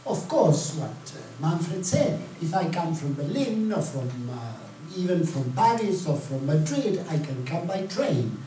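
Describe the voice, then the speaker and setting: A man from Chile explains his points on a panel, often pausing on fillers. His voice is mellow and mid-pitched. He is picked up from a distance in a very echoey hall, with faint noise behind. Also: very animated. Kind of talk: panel discussion answers.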